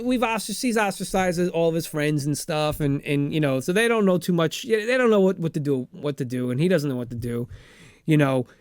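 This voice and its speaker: A man's voice: a little high, clear, resonant tone. A man hosting a podcast, giving an improvised, casual film recap and often repeating his phrases. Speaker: podcast host.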